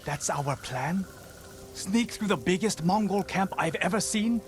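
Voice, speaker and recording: A man's voice: high-pitched, expressive, a little slow, a little hiss or hum. A man playing an anxious, doubting but loyal young blacksmith in a video game, speaking in a worried, protesting way. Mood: anxious, doubtful.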